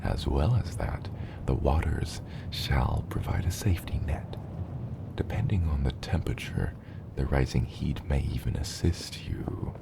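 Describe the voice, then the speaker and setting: A man playing a gentle giant father dragon patiently teaching his hatchling in a hushed, reassuring ASMR roleplay, his voice breathy and gravelly. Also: lively intonation, a little slow, heavily acted. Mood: soothing, reassuring. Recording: loud low rumbling noise.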